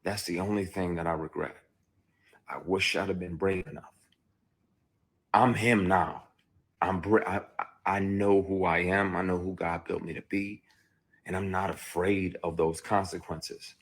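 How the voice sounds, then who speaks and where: A man's voice: sits low, fairly even intonation, a little slow, pauses often. A man, an actor, telling his own story bluntly and candidly, with a lot of profanity.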